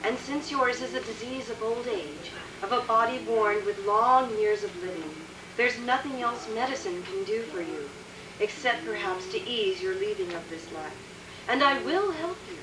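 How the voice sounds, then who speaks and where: A woman playing a solemn, fearful doctor in a radio drama, halting, dramatic and intense. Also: a little high, expressive, natural voice. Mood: solemn, hesitant. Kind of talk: theatrical radio drama acting. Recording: noisy, echoey, distant radio recording.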